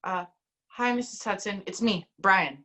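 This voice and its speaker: A woman's voice: medium pitch, a little slow, a bit bright, voice pushed down. A woman voicing a forgetful young man who is apologetic, hesitant and tearful, in an amateur audio drama. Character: guilt-ridden young man, forgetful friend.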